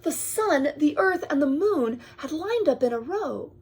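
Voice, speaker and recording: Slow, expressive woman reading a picture book. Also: sits high. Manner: drawn-out phrasing, often dropping to hushed breathy tones; addresses the child with questions and pointers. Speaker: storyteller reading to a young child. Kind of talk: picture-book read-aloud with chatty asides.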